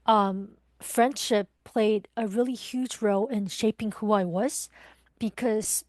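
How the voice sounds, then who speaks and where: A woman's voice: medium pitch, steady tone, slow pace, darkish tone, dry recording. A woman in her twenties from Taiwan, a guest talking thoughtfully with some hesitation about growing up. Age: 20s. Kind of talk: reflective interview conversation.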